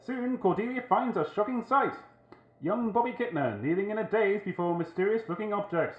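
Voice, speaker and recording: Guy doing dramatic old radio serial narrator, high voice, tinny and echoey, very suspenseful. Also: animated, a little fast. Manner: theatrical, suspenseful.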